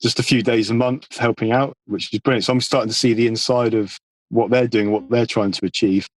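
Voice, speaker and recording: A man gives an easygoing, conversational answer as a podcast guest. His voice sits at an average pitch and his delivery is measured and matter-of-fact. The recording is dry, with very little room sound. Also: steady tone, dry recording. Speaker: podcast guest, environmental campaigner. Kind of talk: casual conversational interview.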